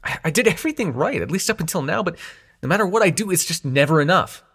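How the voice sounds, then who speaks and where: A man's voice: fairly high pitch, expressive, fast talker, fairly bright tone, slight background noise. A man acting in an intimate audio roleplay as a young god of dreams, sarcastic and wounded but fond, talking in casual, sweary modern slang. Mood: hurt, vulnerable.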